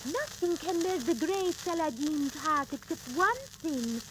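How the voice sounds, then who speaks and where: Grave medieval queen, theatrical radio drama, slow high swooping voice, crackly old disc. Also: lively intonation. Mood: secretive. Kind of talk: 1930s radio drama acting. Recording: crackly, noisy 1930s transcription disc.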